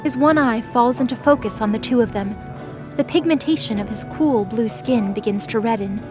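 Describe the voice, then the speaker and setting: A woman's voice: a little high, moderate pace. A woman reading scripted story narration for a fantasy audio drama. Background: music.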